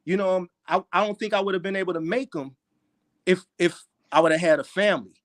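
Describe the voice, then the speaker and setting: A man's voice: sits high, brisk. A man in his forties, a guest on a casual podcast chat, talking in a laid-back, reflective way with lots of "you know what I'm saying.". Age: 40s. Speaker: guest, fellow YouTuber.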